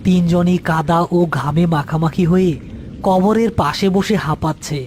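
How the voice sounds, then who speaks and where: A man's voice: high voice, normal speed, a little mellow, a little hiss or hum. A man reading scripted narration for a horror story as narrator and channel host.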